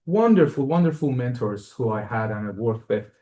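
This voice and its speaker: A man in his thirties from Iran speaks with a Persian accent to a live audience. He talks in a friendly, searching way, pausing on fillers and restarting phrases as he thinks aloud. The voice sits at an ordinary middle pitch. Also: expressive, warm tone, rough recording. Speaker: guest lecturer. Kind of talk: live academic lecture to an audience. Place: lecture hall.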